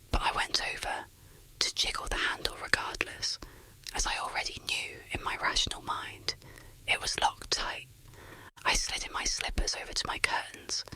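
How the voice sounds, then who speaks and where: Someone narrating an ASMR horror story in a whisper, with deliberate, dramatic delivery. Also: sharp tone, low-quality recording.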